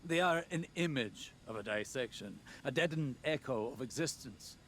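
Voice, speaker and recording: An actor playing an older scholarly warlock in a video game, grave and proud, with formal, measured, erudite speech. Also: male voice, medium pitch, lively intonation, fairly bright tone, slight background noise, close to an everyday voice. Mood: grave, troubled.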